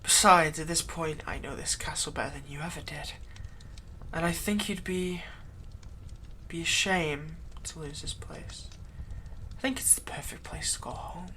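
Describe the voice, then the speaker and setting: A man playing a bitter, taunting former servant who has just become a vampire, speaking in a hushed, menacing way for a roleplay audio drama. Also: on the high side, measured pace, slight background noise. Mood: menacing, smug. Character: vengeful male former thrall, newly turned vampire, bitter and taunting.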